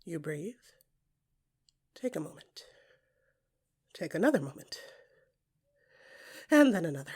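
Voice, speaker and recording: A woman narrating scripted second-person fiction in an intimate, dramatic way, with a breathy voice that often drops into whispers and deliberate short phrases. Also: deep voice, a little mellow. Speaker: podcast creator and narrator.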